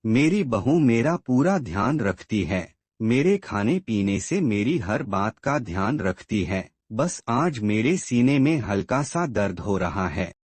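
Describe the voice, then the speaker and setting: A man playing an ailing, gentle old father in a cartoon moral story, earnest and emotive. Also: fairly low pitch, big pitch swings, takes it slowly, few pauses, no room sound, exaggerated voice. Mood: caring.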